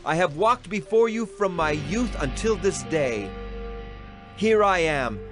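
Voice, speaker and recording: A man's voice: high voice, lively intonation, measured pace. An actor playing an aging, righteous prophet addressing his people in solemn, declamatory dramatized scripture. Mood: earnest challenge. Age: older. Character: aging male prophet, solemn, righteous, stern yet caring. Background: music.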